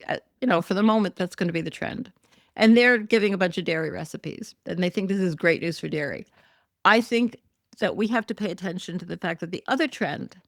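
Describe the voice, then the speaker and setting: A woman's voice: lowish voice, no echo, studio quality. A woman hosting a solo podcast, talking through news in a chatty, wry way with many ums and self-corrections, her voice a bit worn. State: losing her voice. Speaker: podcast host. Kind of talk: casual solo commentary podcast.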